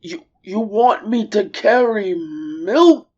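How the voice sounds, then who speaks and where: A woman's voice: medium pitch, lively intonation, darkish tone, dull audio, pitched above the performer's own voice. A woman voicing a proud, grumbling old male camel with aching joints, in a grandiose, complaining manner. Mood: indignant. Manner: grandiose, complaining, drawn-out delivery.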